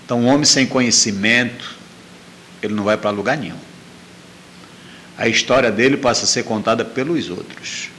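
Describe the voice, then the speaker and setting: A man's voice: medium pitch, normal speed, pauses often, a bit bright, slight background noise. A middle-aged man telling a personal story as an interview guest, repeating phrases for emphasis.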